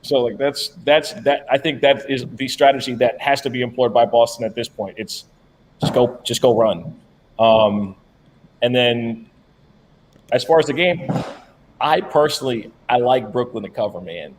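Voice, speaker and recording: A man's voice: mid-range voice, moderate pace, slight background noise, rough recording. Blunt, profane man talking sports betting. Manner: blunt, profane, animated about basketball. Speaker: podcast co-host. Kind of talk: casual sports betting chat.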